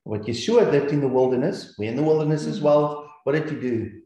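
A man's voice: a little high, a little fast, mellow. A man with a New Zealand accent teaching scripture in an earnest, repetitive way. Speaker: Bible teacher. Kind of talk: scripture teaching over slides. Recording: very echoey room.

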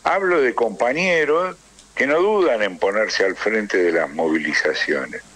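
An Argentine man speaking as a political interview guest, emphatic and rhetorical, building points through deliberate repetition. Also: fairly low pitch, a bit bright, poor audio. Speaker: interview guest, trade union leader.

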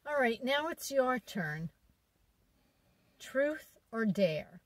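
A woman's voice: a little high, sing-song, very slow, no echo. A woman in her forties narrating a casual shopping vlog, chatty and enthusiastic as she talks to her viewers. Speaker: shopping vlogger.

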